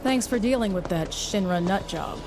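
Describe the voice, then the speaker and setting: Woman playing a shrewd fixer, clearly noisy backdrop. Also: mid-range voice, animated, normal speed, close to an everyday voice. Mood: calm, frank. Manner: matter-of-fact, clipped phrases. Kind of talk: video game cutscene acting.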